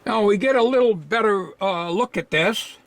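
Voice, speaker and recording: A man giving an informal, unscripted hands-on repair demonstration, thinking aloud in a halting way. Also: sits high, no echo.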